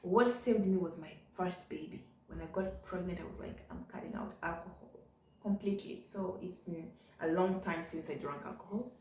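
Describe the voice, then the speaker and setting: A woman's voice: lowish voice, normal speed, darkish tone, roomy sound, recorded from a distance, slight background noise, low-quality recording. A middle-aged woman, talking candidly in a casual vlog and often repeating "you know". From Kenya. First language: Ugandan. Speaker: vlogger. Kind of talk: casual reflective monologue.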